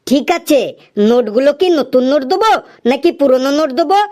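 Performed cartoon comic customer, high-pitched, wheedling, cheeky, teasing, mock-earnest delivery. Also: lively intonation, brisk, mellow. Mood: teasing, stalling. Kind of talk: cartoon comedy dialogue.

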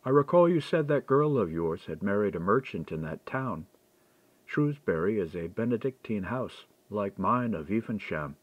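A man's voice: on the low side, animated, normal speed, dry recording. A man reading audiobook dialogue as a candid, shrewd clerk who was once a monk. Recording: dull, lo-fi dry recording.

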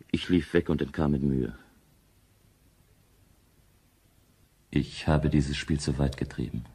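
An actor playing an arrogant, whimsical inventor in a German radio drama, with a cold, self-satisfied manner. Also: male voice, extremely low pitch, gravelly, darkish tone, husky, dry recording, heavily acted. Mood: grave, confessional. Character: arrogant, whimsical male inventor confessing his destructive game. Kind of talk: German radio drama acting, narration and dialogue.

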